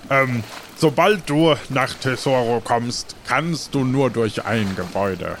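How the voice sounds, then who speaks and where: A man's voice: mid-range voice, takes it slowly, a bit bright, slight background noise. A man playing a chatty, good-natured postman who sails passengers to a pirate island, in an improvised audio adventure with hesitant, colloquial delivery. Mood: helpful.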